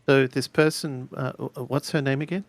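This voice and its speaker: A man's voice: mid-range voice, fairly even intonation, fairly quick, dry recording. A man playing a tabletop roleplaying game, improvising dialogue in a hesitant, questioning way as he thinks aloud.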